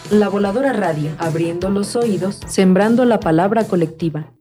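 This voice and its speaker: A woman's voice: medium pitch, normal speed, warm tone, lightly acted. Woman acting a radio promo voice over music. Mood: bright, promotional. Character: market shopper and station announcer in a promo. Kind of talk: scripted radio station promo. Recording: music and crowd bustle behind.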